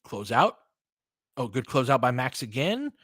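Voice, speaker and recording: A man's voice: mid-range voice, sing-song, a little slow, a bit bright, dry recording. Man doing dry, close-miked basketball commentary. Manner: conversational, explanatory, long-winded. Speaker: basketball play-by-play host and analyst. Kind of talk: live sports commentary mixed with casual analysis.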